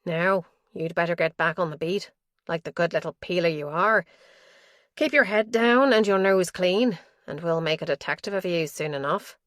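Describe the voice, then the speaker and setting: A woman voicing a cold, controlling older female police chief in an audiobook, with hard, slow and commanding lines. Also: on the low side, a bit bright, no echo, clean studio sound, lightly acted.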